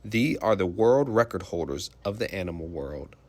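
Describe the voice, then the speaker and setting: A man's voice: low voice, expressive, normal speed. A man reading a children's picture book aloud like a teacher, explaining simply and putting questions to young listeners.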